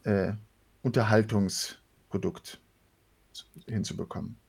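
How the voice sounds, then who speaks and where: A man's voice: fairly low pitch, steady tone, slow, gravelly, darkish tone, breathy edge. A man, talking casually as a voice actor being interviewed. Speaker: guest, voice actor.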